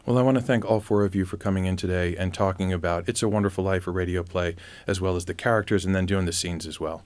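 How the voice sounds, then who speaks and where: A man with a low-pitched voice hosts an interview. He speaks conversationally, as a presenter guiding his guests. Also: fairly even intonation, quick pace, darkish tone. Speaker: radio interview host.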